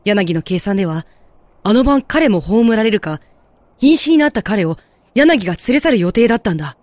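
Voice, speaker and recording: An actor as composed guide, audio drama, mid-pitched voice, measured explanatory delivery, gentle, dry close recording. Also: big pitch swings, dry recording, lightly acted. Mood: grave, explanatory. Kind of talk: Japanese audio drama acting.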